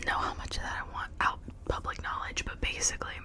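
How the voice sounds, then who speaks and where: A person speaking in a breathy, unvoiced whisper, rambling in a confiding, personal way for an ASMR video. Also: quick pace, sharp tone.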